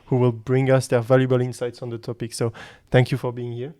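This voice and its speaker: A man's voice: mid-range voice, completely flat delivery, brisk, dark tone, no room sound. A man hosting a business podcast, reading a scripted intro and then hosting in an upbeat, enthusiastic way. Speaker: podcast host.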